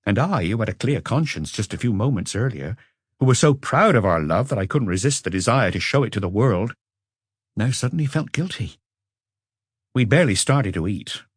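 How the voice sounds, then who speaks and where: A man's voice: fairly low pitch, animated, moderate pace, slightly rough, no room sound, studio quality. Man playing an earnest, troubled man confessing. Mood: dismayed. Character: troubled man under questioning, dismayed, searching for words.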